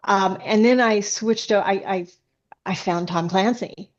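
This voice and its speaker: A woman's voice: mid-range voice, unhurried. Woman chatting in slightly dull, lo-fi audio. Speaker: guest author. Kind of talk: casual interview chat.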